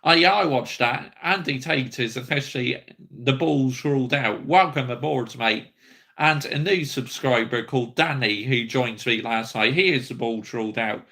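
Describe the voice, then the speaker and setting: A man's voice: medium pitch, moderate pace, bright, forceful tone. A man, bluntly ranting and swearing as host of a football fan livestream. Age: 30s.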